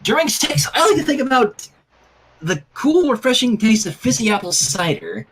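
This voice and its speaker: Hot-headed tomboy teen, voiced by a man, high, cheeky and very animated, faint noise behind. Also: moderate pace, husky, exaggerated voice. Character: brash, hot-headed tomboy teenage girl.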